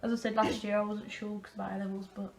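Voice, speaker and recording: A woman's voice: medium pitch, flat delivery, quick pace, roomy sound, slight background noise. A young woman chatting casually as an interviewee. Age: young adult. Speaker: amateur pantomime performer being interviewed. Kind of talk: casual chat.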